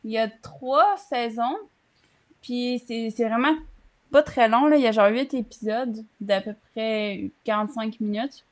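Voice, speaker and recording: A young woman with a Québécois French accent and a fairly high voice. She chats casually as a panelist on a video call, speaking from a small room at home into a computer mic. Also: steady tone, measured pace. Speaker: talk show panelist. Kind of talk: casual chat.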